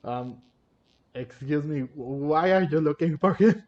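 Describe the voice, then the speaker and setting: A man's voice: fairly high pitch, measured pace. Hesitant male gamer, dull lo-fi sound. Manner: hesitant, thinking aloud, repeats himself. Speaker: gaming video creator. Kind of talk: casual gameplay commentary. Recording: dull, lo-fi microphone. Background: faint game music.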